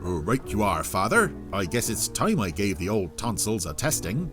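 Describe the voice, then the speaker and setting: A man's voice: on the low side, sing-song, normal speed, over music. A man voicing a cheerful, chatty pub landlord in an audio story, hearty and obliging.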